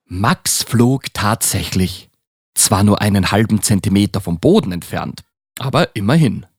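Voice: low-pitched, lively intonation, a little slow, a little mellow, breathy edge, no room sound, studio quality. A young school-age child narrating a children's Christmas radio play in a scripted storybook style. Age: child, about ten.

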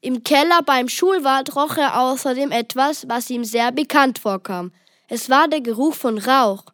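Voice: sits high, a little slow, fairly bright tone, dry recording, clean studio sound. A child reading scripted narration for a children's story.

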